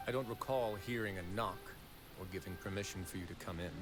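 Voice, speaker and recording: A man voicing a calm, protective duke in a game, speaking with measured, reassuring authority. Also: low-pitched, over music, close to an everyday voice. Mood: cool, stern. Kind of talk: scripted game voice acting.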